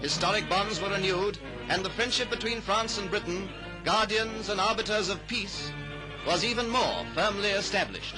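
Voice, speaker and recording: A man's voice: high voice, animated, moderate pace, poor audio. A man narrating a 1930s newsreel in a grand, declamatory, patriotic manner. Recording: old newsreel soundtrack under loud music. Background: loud music.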